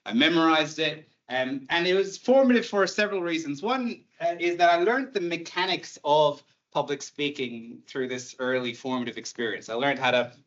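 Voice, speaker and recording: A man's voice: on the high side, moderate pace, hardly any pauses, a bit bright, highs cut off. A man in his thirties with an Irish accent, a university lecturer giving an online talk in a conversational way, with light humor and many fillers. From Ireland.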